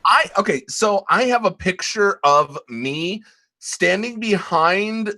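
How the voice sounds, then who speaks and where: A man co-hosting a podcast in casual live-stream chat, halting, with many ums and restarts. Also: sits high, animated, bright, forceful tone. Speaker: podcast co-host.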